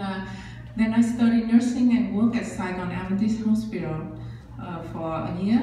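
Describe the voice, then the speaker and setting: A woman's voice: mid-range voice, warm tone, a little hiss or hum. A woman in her sixties from Vietnam with a Vietnamese accent, giving an earnest personal testimony in a church with many fillers and simple grammar. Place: large church sanctuary. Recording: echoey church hall, speaker far from mic.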